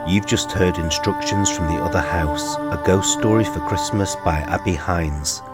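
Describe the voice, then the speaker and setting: A man's voice: low-pitched, moderate pace. A man reading scripted closing credits as an announcer. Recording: prominent music behind the voice. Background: loud music.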